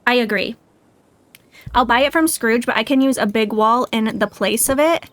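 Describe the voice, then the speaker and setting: A woman's voice: a little high, moderate pace, fairly bright tone, no echo. A woman game streamer chatting casually and thinking aloud in a tentative, musing way as she plays. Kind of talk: casual live gaming chat, thinking aloud. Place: indoors, livestream.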